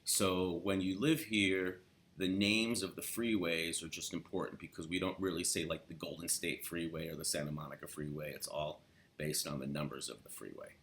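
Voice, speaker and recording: A deep-voiced middle-aged man talks casually to the camera. He rambles a little, drops in fillers, and wanders through his points at an easy pace. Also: steady tone, normal speed, fairly bright tone, slight background noise. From New York. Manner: rambling, filler-heavy, acts out quoted LA speech with rising upswing. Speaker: accent coach. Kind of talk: casual instructional talk to camera.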